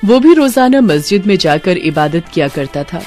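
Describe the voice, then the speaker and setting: A woman's voice: fairly low pitch, very animated, moderate pace, darkish tone, soft background music. A woman giving scripted narration for a cartoon moral story.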